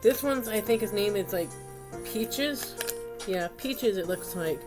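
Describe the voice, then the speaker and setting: Woman w stuffy nose doing a casual craft vlog, rambly, mid pitch, music playing behind her. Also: expressive, takes it slowly. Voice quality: stuffy, congested. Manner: rambling, unscripted narration while working. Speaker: craft video host.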